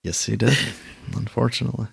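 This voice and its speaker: A man's voice: mid-range voice, fairly quick, gravelly, a little mellow, husky, dry recording. A man co-hosting a gaming podcast in casual chat, joking and teasing his co-host.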